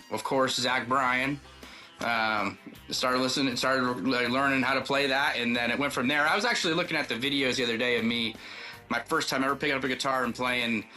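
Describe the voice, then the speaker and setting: Male country songwriter guest, medium register, bright forward tone, rambling conversational storyteller. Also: medium pitch, steady tone, moderate pace, soft background music. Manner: loose, rambling, informal. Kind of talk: casual interview chat telling personal stories.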